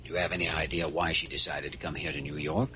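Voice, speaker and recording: An earnest young male doctor speaks very low, in a measured, polite, probing way. The recording is old radio drama, muffled with faint noise. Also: level tone, pushed and bright. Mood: puzzled, businesslike. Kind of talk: 1940s radio drama acting.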